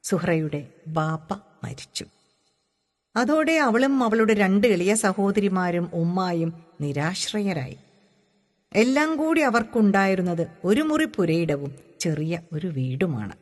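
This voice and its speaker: A woman from reads literary prose aloud as a measured, deliberate storyteller. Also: on the low side, big pitch swings. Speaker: audiobook reader. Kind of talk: expressive literary narration. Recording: long hall-like reverb.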